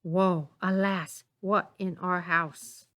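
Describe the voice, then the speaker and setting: A woman's voice: on the low side, fairly even intonation, slow, fairly bright tone, no room sound. A woman playing a scheming noblewoman who feigns shock, in an amateur Shakespeare reading. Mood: feigned dismay.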